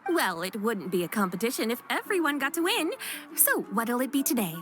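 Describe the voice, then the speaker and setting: A woman's voice: fairly high pitch, sing-song, a little fast, music in the background. A woman voicing a shrewd but kindhearted female shopkeeper in a video game, wry and good-natured. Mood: friendly. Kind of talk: game voice acting.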